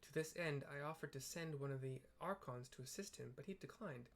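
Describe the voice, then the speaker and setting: A man voicing a formal, composed scholar giving counsel in measured, archaic, courteous phrasing. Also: on the high side, lightly acted. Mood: measured, concerned.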